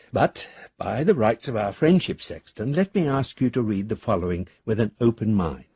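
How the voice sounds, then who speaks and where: A man's voice: mid-range voice, a little mellow, breathy edge, dry recording, muffled recording, full character voice. An actor playing an eloquent, whimsical elderly father in a radio drama, wordy and wryly formal as he reads his letters aloud. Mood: courteous, earnest. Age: older.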